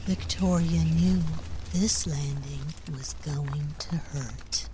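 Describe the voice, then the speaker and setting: A woman performing as a solemn storyteller, giving measured, grave scripted narration for a dark fairy-tale drama. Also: low-pitched, a little hiss or hum. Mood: grave, hushed.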